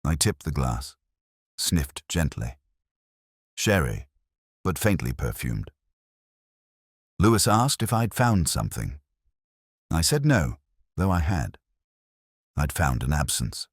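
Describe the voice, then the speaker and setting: A man narrating a scripted first-person detective story in a measured, literary, reflective manner. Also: a bass voice, very animated, husky, no room sound, studio quality.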